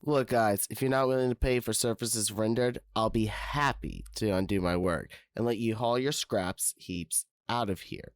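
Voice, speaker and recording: An actor playing a wiry, laid-back bike mechanic in an audio drama, polite and placating. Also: male voice, on the low side, moderate pace, hardly any pauses, smooth, no echo, close to an everyday voice. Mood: calm, firm. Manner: mellow, placating.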